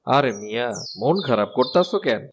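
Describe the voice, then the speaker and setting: Man doing a pushy stall owner, low coaxing voice, persuasive, music behind. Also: lively intonation, normal speed, a little hiss or hum, lightly acted. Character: pushy male rival food stall owner.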